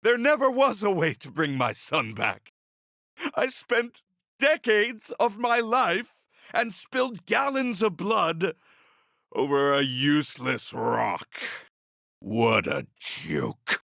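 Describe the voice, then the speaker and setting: An embittered villain of a video game, a middle-aged false father consumed by obsession. He speaks slowly and theatrically, with big swings in pitch and a taunting edge, recorded close and dry. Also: big pitch swings, vocal fry, a bit bright, no echo, heavily acted. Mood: despairing. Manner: theatrical, taunting, drawn-out delivery. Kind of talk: dramatic video game voice acting.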